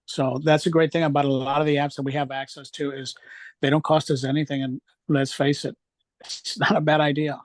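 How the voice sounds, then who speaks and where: A man's voice: fairly high pitch, little pitch movement, a little fast, dry recording. A man speaking conversationally and with enthusiasm in an informal group discussion, presenting apps from his own experience. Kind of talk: informal discussion at an online event.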